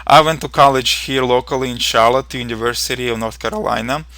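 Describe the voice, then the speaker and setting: A man's voice: mid-range voice, fast talker, sharp tone, no room sound. A young man from Ukraine with a Ukrainian accent, earnestly narrating a programming tutorial with frequent ums and uhs.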